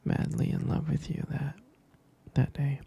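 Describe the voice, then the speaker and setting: A man playing a tender, devoted husband in an intimate ASMR roleplay, speaking slowly and hesitantly in a soft, breathy, creaky whisper. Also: medium pitch, flat delivery, heavily acted. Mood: adoring, tender. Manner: slow, soft, hesitant, doting murmur. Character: tender, devoted husband comforting his pregnant partner.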